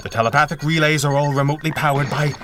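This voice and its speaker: A man's voice: fairly high pitch, steady tone, very fast, close to an everyday voice. Man playing a cool-headed masked hero, noisy background. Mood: grave, determined. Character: Red Panda, cool-headed masked 1930s male superhero. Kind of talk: 1930s radio serial style acting. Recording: clearly noisy background ambience.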